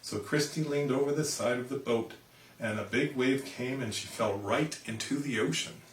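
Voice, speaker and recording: A man reading a children's storybook aloud as an animated narrator. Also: medium pitch, normal speed, slight background noise. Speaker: storybook reader. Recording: roomy, distant microphone.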